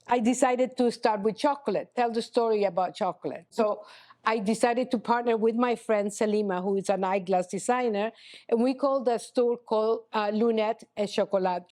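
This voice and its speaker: A middle-aged woman, a chocolatier guest speaking in a casual interview, halting with many restarts and "you know". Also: fairly high pitch, a little mellow. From Honduras. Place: chocolate shop cafe.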